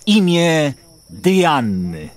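An actor playing a count's teasing, sardonic confidant in a verse radio drama, dry and needling. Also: male voice, on the high side, big pitch swings, extremely slow, full character voice.

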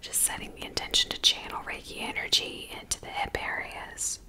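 A woman whispering slow, soft instructions as she guides a Reiki ASMR session. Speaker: Reiki practitioner.

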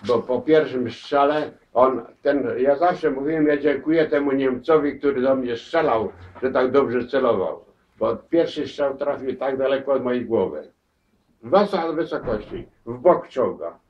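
An elderly man shares his war memories in a crowded living room. He speaks haltingly, repeating words and restarting his sentences. He is picked up from across the room on a dull camera mic. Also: mid-range voice. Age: older. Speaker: war veteran interviewee. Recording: distant, dull camera audio.

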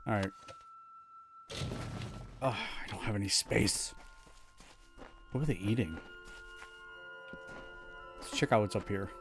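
A man's voice: on the low side, slow pace, pauses often, faint music behind. A man in his thirties streaming a game from home, chatting casually and joking about his own play. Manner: chatty, self-mocking, restarts often. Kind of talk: casual live gameplay commentary.

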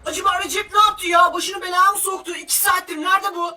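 A squeaky little cartoon sidekick, fretful and full of questions. The pitch is extremely high. The voice sounds distant, with clear music under it. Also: steady tone, fast, shouting. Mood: worried, baffled. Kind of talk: cartoon parody character dub.

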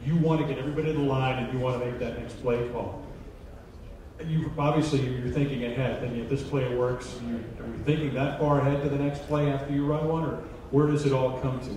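A man's voice: medium pitch, fairly even intonation, fast talker, darkish tone, a little hiss or hum. A middle-aged man hosting a casual live interview on stage with a handheld microphone. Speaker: interviewer, radio play-by-play announcer. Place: indoor stage in an auditorium. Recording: echoey hall PA, distant, audience behind.

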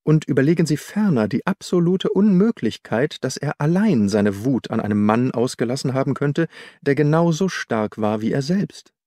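A man's voice: medium pitch, expressive, normal speed, dark tone, no echo, clean studio sound, close to an everyday voice. A man voicing a shrewd, methodical detective in an audiobook, reasoning in a precise, self-assured way.

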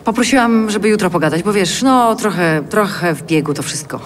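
An actress playing a strained, self-conscious woman around forty, halting and a little prickly, in naturalistic audio drama. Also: medium pitch, lively intonation, a little hiss or hum, close to an everyday voice. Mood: puzzled, uneasy. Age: middle-aged.

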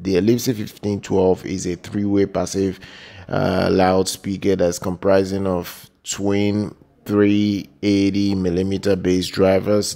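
A man presenting product news in a semi-improvised voice-over, speaking in a halting, repetitive way with drawn-out hesitations. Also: low voice, vocal fry, warm tone. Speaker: product news video host.

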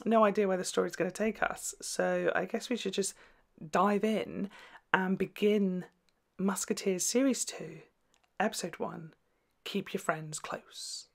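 A young man giving casual, expressive reaction commentary, chatting to camera and exclaiming in short bursts. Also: high-pitched, a little slow, gravelly. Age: young adult. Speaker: reaction video host. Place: small indoor room at home.

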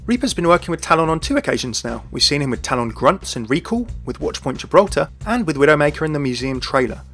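A man's voice: on the high side, sing-song, quick pace, a bit bright, soft background music. A man hosting a video, reading scripted commentary on a video game. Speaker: video host.

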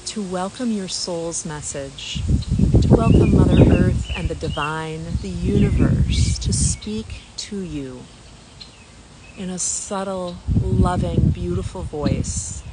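A woman leading a spiritual guided meditation in a gentle, soothing manner, with long pauses between phrases. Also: lowish voice. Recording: clear environmental noise, birdsong.

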